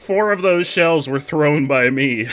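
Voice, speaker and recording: A young man casually commenting on a kart race while chatting with his viewers, excitable and quick to laugh. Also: fairly high pitch. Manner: excitable, giggly, chats with viewers. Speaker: streamer.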